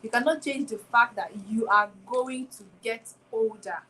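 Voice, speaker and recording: A woman's voice: fairly high pitch, a little slow, sharp tone, a little hiss or hum, rough recording. A woman in her twenties hosts a solo motivational talk, speaking reflectively and returning again and again to rhetorical questions. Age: 20s. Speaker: podcast host.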